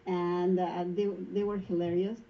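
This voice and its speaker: A woman's voice: lowish voice, flat delivery, a little slow, lo-fi sound. A woman with a Spanish accent who works in a school front office, telling stories in a casual interview and laughing often. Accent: Spanish-speaking.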